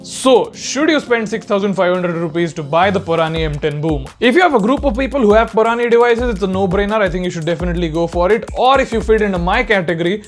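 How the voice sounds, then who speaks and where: A man's voice: sits high, fast, few pauses, faint music behind. A young man giving a casual, explanatory product review in an informal way. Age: young adult. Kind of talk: casual spoken review to camera.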